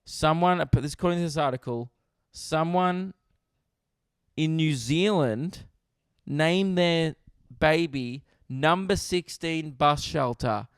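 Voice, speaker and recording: Crisp, dry-miked Australian comedian riffing. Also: male voice, a little high, slow, no room sound. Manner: riffing, swears freely, laughs at his own jokes. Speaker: comedian podcast host. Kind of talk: casual solo podcast chat.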